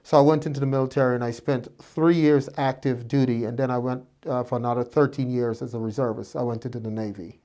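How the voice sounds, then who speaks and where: A man's voice: mid-range voice, fairly even intonation, fast, few pauses, dark tone. A man in his fifties from Guyana, a doctor telling his life story to students in a reflective way, with many fillers and restarts. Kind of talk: relaxed personal storytelling.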